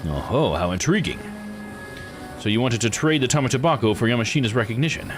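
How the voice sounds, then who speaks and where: A man's voice: medium pitch, animated, quick pace, music in the background, close to an everyday voice. A man voicing a curious, theatrical male adventurer in visual novel dialogue, musing and grandly polite. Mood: intrigued, musing. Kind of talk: visual novel character read-aloud.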